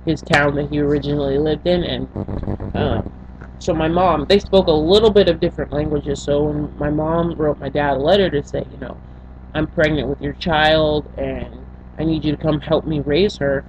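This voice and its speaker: A young woman from Senegal speaks into a built-in webcam mic, picking her words haltingly with lots of restarts. The audio is dull and lo-fi, with a faint hum underneath. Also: low voice, darkish tone. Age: young adult. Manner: halting, with many fillers and restarts. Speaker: vlogger. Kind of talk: personal storytelling to camera. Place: small bedroom indoors.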